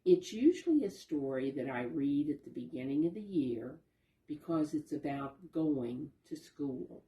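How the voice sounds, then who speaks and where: Slow, expressive woman storyteller for children. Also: deep voice, echoey room, low-quality recording. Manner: simple, patient explaining, with questions to children. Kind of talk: children's read-aloud lesson, addressing young listeners.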